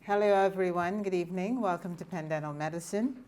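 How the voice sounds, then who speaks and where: A woman's voice: fairly low pitch, darkish tone, slight background noise. Woman leading a formal pledge. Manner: measured, deliberate recitation. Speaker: ceremony speaker leading a pledge. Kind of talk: formal ceremony address.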